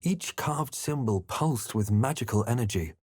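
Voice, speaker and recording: A man voicing a brooding adventurer hero in a game, narrating his perils in a measured, grave manner. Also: medium pitch, expressive, darkish tone, breathy edge, no room sound, clean studio sound. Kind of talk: dramatic game narration and short character remarks.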